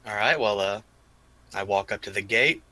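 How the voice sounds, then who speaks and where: A man's voice: on the low side, moderate pace, pushed and bright, no echo. A man playing a tabletop roleplaying game, casually saying what his character does.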